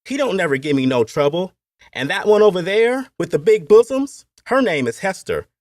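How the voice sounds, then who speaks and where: Man acting a high-pitched, crude Appalachian overseer. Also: very animated, moderate pace, a little mellow, no room sound, studio quality. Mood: coarse, leering. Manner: folksy, coarse, ungrammatical speech. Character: crude young male Appalachian plantation overseer, leering, eagerly deferential.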